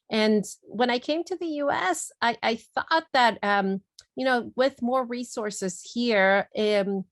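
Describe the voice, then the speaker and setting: A middle-aged woman from Guatemala talks earnestly on a video call, with frequent fillers and restarts. Her voice sits at an ordinary pitch, and the sound is dry and close. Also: no echo. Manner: earnest, many fillers and repeated words. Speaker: neurologist and webinar speaker. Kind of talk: webinar presentation and Q&A.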